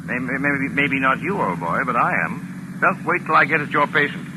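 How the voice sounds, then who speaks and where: An actor playing a jaunty, talkative English gentleman writer in a 1940s radio thriller, with an urbane, wry, excitable manner and a British accent. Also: mid-range voice, moderate pace, lightly acted. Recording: noisy vintage radio broadcast recording.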